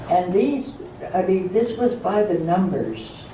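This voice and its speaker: Older woman slowly remembering the old days, low mellow voice, echoey hall far from the mic. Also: animated. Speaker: speaker sharing memories. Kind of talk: oral history reminiscence. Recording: roomy hall from far off, faint noise.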